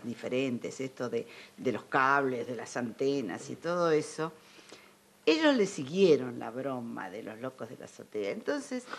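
Older Argentine female guest, conversational, recalling memories in a television studio. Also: low-pitched. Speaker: interview guest. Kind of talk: conversational reminiscing.

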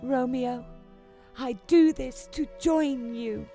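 Woman as high-voiced, earnest young noblewoman. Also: slow pace, gravelly, dark tone, exaggerated voice. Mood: earnest, resolute. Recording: music under the voice.

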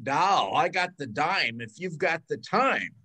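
A man's voice: a little high, expressive, slow pace, pushed and bright, breathy edge, heavily acted. An older man playing a brash, hard-drinking traveling salesman who fancies himself a ladies' man, in an old-time radio drama. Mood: flirtatious. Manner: brash, slangy wisecracking. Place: bedroom at home. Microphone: video call.

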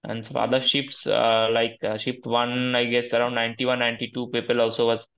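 A man in his twenties with an Indian accent giving halting, deferential answers in a conversational interview over a headset on a video call. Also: medium pitch, monotone, vocal fry, fairly bright tone, rough recording. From Kolkata, India. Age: 20s. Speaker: interview guest, successful exam candidate. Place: small room at home, video call.

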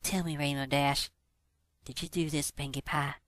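A man's voice: a little high, little pitch movement, normal speed, a bit bright, dry recording, studio quality, voice pushed up, natural voice. A man voicing a blunt, stern female farm pony in a story, folksy and confrontational.

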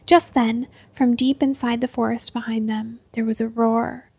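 High creaky-voiced woman narrating a story. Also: little pitch movement, normal speed, darkish tone.

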